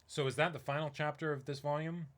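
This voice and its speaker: A man's voice: fairly high pitch, level tone, fast, fairly bright tone, a little hiss or hum. A man co-hosting a manga reading stream, talking casually in an emphatic, opinionated way and repeating phrases for stress. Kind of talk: casual discussion.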